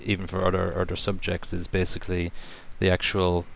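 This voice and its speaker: A man's voice: sits low, completely flat delivery, brisk, gravelly, a little hiss or hum. A man from Ireland hosting a casual interview, easygoing and trailing off into agreeing fillers. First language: Irish. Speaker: podcast host.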